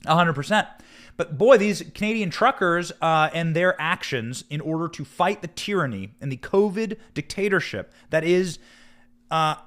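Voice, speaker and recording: Male American political host: dramatic, emphatic delivery with very lively, swooping intonation. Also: a little high. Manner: emphatic, dramatic, with rhetorical questions and drawn-out stressed words. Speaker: political commentary show host. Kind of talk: live political commentary with news read aloud.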